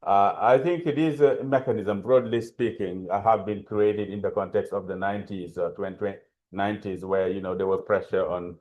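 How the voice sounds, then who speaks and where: A man from Senegal with a French accent, giving a hesitant, halting webinar presentation over a video call, with many fillers and restarts. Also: fairly low pitch, steady tone, dull audio. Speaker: panelist.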